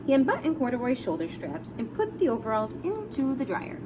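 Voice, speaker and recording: A woman reads a picture book aloud to young children. Her voice is fairly high and swings widely in pitch, very expressive and clear in intent. Noticeable noise runs behind it. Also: fairly quick. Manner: explains words simply as she reads. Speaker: storybook reader.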